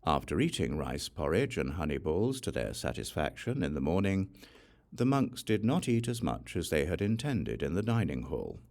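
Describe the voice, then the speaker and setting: A man reading scripture as an audiobook, narrating in a measured, deliberate storytelling way. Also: extremely low pitch, very animated, vocal fry, a little mellow. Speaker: audiobook reader.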